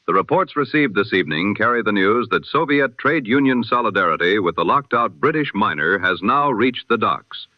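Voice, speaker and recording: A man's voice: lowish voice, no room sound. A man reading a scripted news bulletin as a formal, solemn announcer in the style of 1920s radio, through an old radio filter. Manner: formal, solemn, rhetorical delivery. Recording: dull lo-fi old radio sound.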